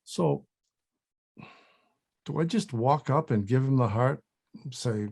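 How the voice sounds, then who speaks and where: A man playing a casual, sceptical, needling sidekick in a script table read, with a husky voice. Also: medium pitch, slow, darkish tone. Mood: casual, unsure.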